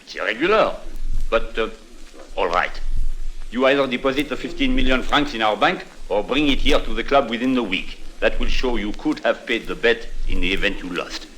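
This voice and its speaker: An actor playing an officious, courteous French club manager in 1950s radio drama, with a French accent and formal, fussy, hesitant speech. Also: male voice, mid-range voice, moderate pace, bright, forceful tone, lightly acted. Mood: stern, grudging. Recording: noisy old 1950s radio recording.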